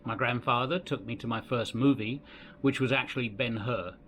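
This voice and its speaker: Older English man, mid-pitched voice, calm home storytelling, slight background hiss. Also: moderate pace. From England. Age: 60s. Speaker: family history narrator. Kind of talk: reflective personal narration. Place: home in the Philippines.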